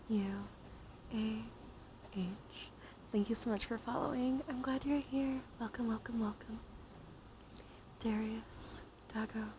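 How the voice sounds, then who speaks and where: A woman live streamer speaking softly, often in a whisper, in a gentle ASMR style mixed with casual chat. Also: fairly high pitch, low-quality recording. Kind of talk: ASMR, soft chatty livestream talk. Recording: clear steady background noise.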